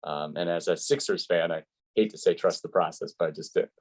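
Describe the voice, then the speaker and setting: Male sports broadcaster as interviewee, lower-pitched, explaining things conversationally and articulately. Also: brisk. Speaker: sports play-by-play announcer, interview guest.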